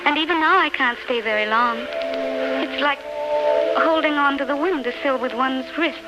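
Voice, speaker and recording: A woman's voice: sits high, moderate pace, over loud music, close to an everyday voice. An actress playing the tender ghost of a beloved woman, lyrical and fervent, in 1930s radio drama style. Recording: noisy, scratchy 1939 radio recording.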